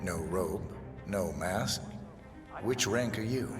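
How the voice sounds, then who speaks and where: Low-voiced, guarded tech executive. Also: male voice, slow, music in the background. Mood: sly, confident. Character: wary, self-serving male tech company chief designer. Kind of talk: video game cutscene acting.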